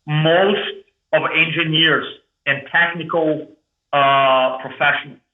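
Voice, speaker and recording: A man from Brazil with a thick Brazilian Portuguese accent, telling his story as an interview guest in an energetic, restarting way. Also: on the high side, slow. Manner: energetic, repeats and restarts words. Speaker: guest, public speaking coach. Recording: echoey room over phone-like line.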